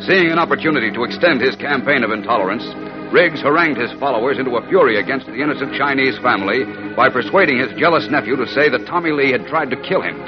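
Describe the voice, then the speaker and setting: Male 1940s serial announcer, urgent dramatic narration, medium pitch, muffled recording over music. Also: quick pace. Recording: muffled old radio recording.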